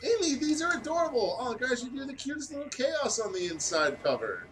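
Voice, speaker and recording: A man's voice: very high-pitched, very animated, fairly quick, fairly bright tone, distant mic, soft background music, pitched above the performer's own voice, heavily acted. A man voicing an enthusiastic, kindly teenage schoolgirl in a fan fiction reading, gushing and eager. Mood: gushing, friendly.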